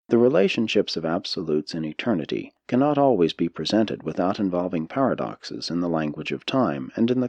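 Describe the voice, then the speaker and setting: A man reading a spiritual text as an audiobook narrator, in measured, deliberate phrasing. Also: lowish voice, darkish tone, no echo.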